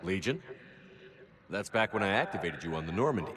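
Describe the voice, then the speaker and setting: Deep-voiced military commander. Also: male voice, sing-song, brisk, a bit bright. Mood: curious, surprised. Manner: plain, terse questioning. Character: gruff, inquisitive male military commander. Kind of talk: video game character acting.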